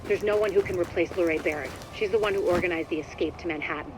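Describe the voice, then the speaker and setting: A woman's voice: lowish voice, quick pace, background noise, close to an everyday voice. A woman playing a determined, commanding task force leader briefing an agent in a video game.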